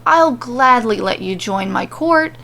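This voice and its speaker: A woman acts out a hulking, self-crowned villain king for a story reading. He talks down to everyone with sneering sarcasm. The pitch rides high and swoops with manic self-importance. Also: animated, slow, gravelly, bright, forceful tone, exaggerated voice. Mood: smug, condescending.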